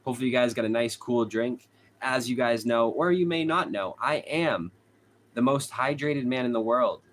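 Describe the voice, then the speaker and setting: A man's voice: medium pitch, lively intonation, normal speed, a little hiss or hum. A young man with a Boston accent hosting a casual true crime livestream, chatting with viewers, reading their comments aloud and laughing often. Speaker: true crime channel host. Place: hot room at home.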